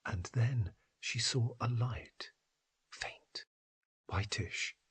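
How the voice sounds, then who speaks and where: A man reading scripted literary fiction in a husky, breathy voice that often drops toward a whisper, with measured, dramatic phrasing. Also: medium pitch, a bit bright. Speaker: narrator. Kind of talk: scripted literary story narration.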